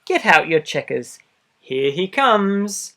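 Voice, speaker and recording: A man reading a children's storybook aloud expressively, adding gentle asides for young listeners. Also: sits high, sing-song, slow. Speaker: storybook reader. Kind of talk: children's picture book read-aloud.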